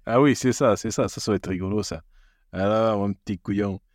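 Jokey Portuguese-accented comedian, low voice. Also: male voice, fairly quick, no room sound, clean studio sound. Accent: Portuguese with English influence. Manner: jokey, playful, laughs often. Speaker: stand-up comedian, podcast guest. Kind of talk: casual podcast chat.

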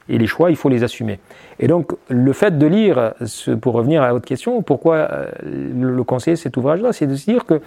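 A middle-aged man and former soldier answering interview questions about his book in an earnest, measured way, with frequent hesitations. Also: mid-range voice, vocal fry, warm tone.

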